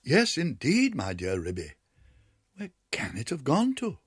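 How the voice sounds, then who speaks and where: A man's voice: medium pitch, wildly swooping pitch, a little slow, husky, dry recording, clean studio sound, voice pushed up, full character voice. A man voicing a greedy, anxious female dog who whines and moans melodramatically. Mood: fussy. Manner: whining, melodramatic.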